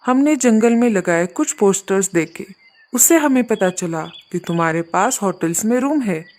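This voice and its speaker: A woman's voice: medium pitch, expressive, moderate pace, a little mellow, close to an everyday voice. Lady doing a fussy male traveller bird, businesslike, full of questions, kids cartoon. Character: demanding male migratory bird leading his travelling flock. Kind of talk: children's cartoon story dialogue.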